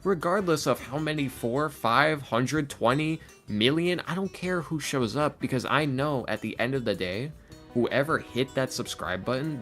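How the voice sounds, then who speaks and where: A man chatting casually as a podcast guest, animated and halting, often repeating himself as he goes. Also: mid-range voice. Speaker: podcast guest, ASMR roleplay creator and voice actor. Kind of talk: casual interview chat. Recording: music playing behind the voice. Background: background music.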